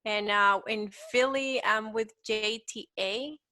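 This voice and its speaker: A woman's voice: medium pitch, fairly even intonation, slow, bright, forceful tone, dry recording. A woman in her twenties from El Salvador with a Salvadoran Spanish accent, chatting casually and upbeat as an interview guest. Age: 20s. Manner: chatty, upbeat, says "like" often. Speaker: guest, petite model.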